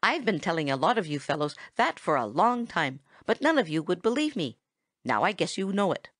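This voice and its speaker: A woman's voice: lowish voice, big pitch swings, a little fast, a bit bright, no room sound, studio quality. A woman voicing a cheery, boastful little male chickadee bird in a storybook reading, bubbly and merry. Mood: cheerful, boastful.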